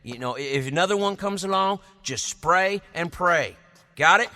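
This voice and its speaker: An actor playing a brash young male adventurer who jokes crudely and talks loudly. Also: high-pitched, lively intonation, normal speed. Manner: loud, brash and joking.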